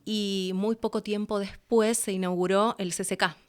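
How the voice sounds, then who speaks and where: A woman with a Rioplatense accent from Argentina speaks as a guest in a relaxed interview. Her voice is smooth and resonant at an average pitch. She talks in a casual storytelling way, slipping into quoted dialogue and little asides. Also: normal speed. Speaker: interview guest, voice-over artist and producer.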